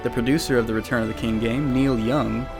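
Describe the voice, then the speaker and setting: A man narrates a scripted retrospective as the host of a video series. His delivery is wry, with casual jokes slipped into the explanation. A music bed runs lightly behind his mid-pitched voice. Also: steady tone, brisk, creaky. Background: background music.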